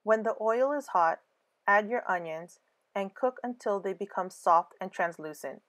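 A woman giving a scripted cooking voice-over, calm clear step-by-step instructions for a home recipe. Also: mid-range voice, moderate pace. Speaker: cooking channel host.

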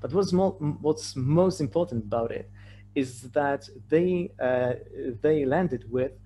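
A man from Poland with a Polish accent, a native Polish speaker, presenting slides in a halting way with many repeated words. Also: a little high, dark tone. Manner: hesitant, repeats words and stammers over phrase starts. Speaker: conference presenter. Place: remote online presentation with shared screen. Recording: clearly noisy online talk with hum.

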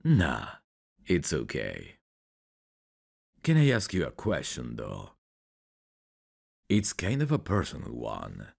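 Guy playing a teasing flirty dude for asmr roleplay, slow drawn out talk, gravelly n husky, intimate. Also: a bass voice, huge pitch swings, darkish tone, exaggerated voice.

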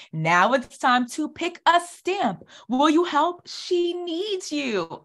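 Woman, thirties, high voice and leisurely pace, children's author reading a picture book aloud, coaxing young listeners with questions and praise. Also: fairly bright tone. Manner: encouraging, exclamatory, asks questions and answers them. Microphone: computer mic on video call.

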